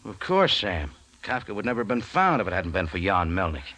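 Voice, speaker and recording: An actor playing a tough, wisecracking American cafe owner in a 1940s radio detective drama, terse and wry. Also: male voice, sits low, big pitch swings, brisk, bright, forceful tone, full character voice. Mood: calm, sober. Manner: hard-boiled, terse, wry. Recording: vintage radio recording with music.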